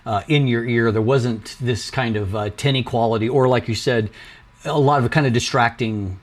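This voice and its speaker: A man hosting a conversational review, talking in a halting way and rephrasing as he goes. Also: mid-range voice. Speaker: host, product reviewer.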